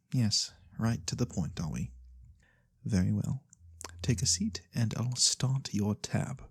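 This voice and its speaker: An ASMR roleplayer voicing a sly, mysterious lorekeeper who tells fantasy tales for coin, soft-spoken, breathy and theatrical, with deliberate pauses. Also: medium pitch, no room sound, clean studio sound, exaggerated voice. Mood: coy, welcoming. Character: sly mysterious nonhuman lorekeeper, fortune-telling storyteller for coin.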